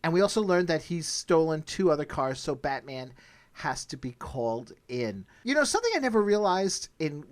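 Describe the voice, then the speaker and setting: A man's voice: on the high side, big pitch swings, moderate pace. A man hosting a podcast, talking casually as he recaps and discusses. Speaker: podcast host.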